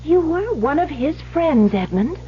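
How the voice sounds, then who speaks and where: An actress playing an anxious, affectionate wife who questions emphatically in an old-time radio drama. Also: female voice, high voice, sing-song, measured pace. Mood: alarmed, questioning. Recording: dull, noisy old radio recording.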